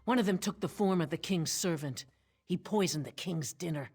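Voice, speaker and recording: An actress playing a solemn ally in a fantasy game, husky and measured, reporting grim news. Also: female voice, on the low side, a little mellow. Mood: grave, troubled.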